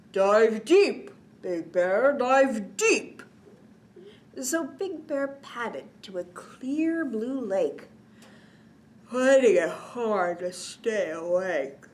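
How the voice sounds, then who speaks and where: A woman reading a children's picture book aloud expressively, in a sing-song way with chatty little asides. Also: mid-range voice. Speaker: children's storytime reader.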